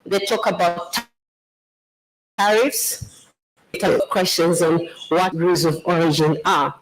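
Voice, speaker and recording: A trade official with a Zambian accent delivers a formal presentation. The delivery is measured and explanatory, at a notably slow pace. The voice sits at a middling pitch. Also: slight background noise, rough recording.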